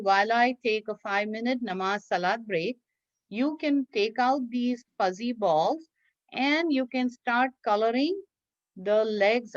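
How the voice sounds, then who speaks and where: A woman's voice: mid-range voice, no echo. A woman teacher leading a children's craft class, giving simple step-by-step instructions and repeating them in an encouraging way. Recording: dull lo-fi online class audio.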